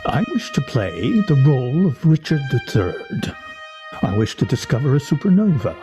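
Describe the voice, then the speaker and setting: A man's voice: mid-range voice, sing-song, moderate pace, music in the background, natural voice. An actor in a radio play playing a witty, pompous man nearing fifty, with ornate phrasing and wry, theatrical delivery. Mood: grandiose, playful.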